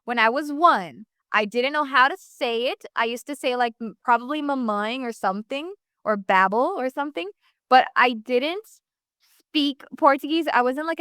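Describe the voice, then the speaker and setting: A woman's voice: high voice, a bit bright, clear, resonant tone, no room sound, clean studio sound. A young woman from the United States, a guest talking casually in an interview, rambling with many fillers and restarts.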